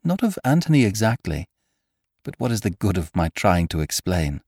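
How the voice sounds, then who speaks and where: A man's voice: fairly low pitch, sing-song, normal speed, darkish tone, no room sound, studio quality, pitched above the performer's own voice. A man reading an audiobook, voicing a troubled young woman who hesitates and grows frightened as she speaks. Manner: hesitant, trembling, rising to alarm. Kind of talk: audiobook character voice.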